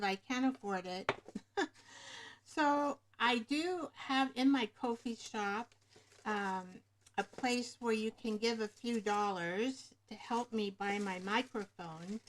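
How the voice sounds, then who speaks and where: A woman hosting a casual craft livestream, rambling and pausing to search for words, speaking into a webcam microphone. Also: fairly high pitch, a little hiss or hum, poor audio. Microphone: webcam microphone above the screen.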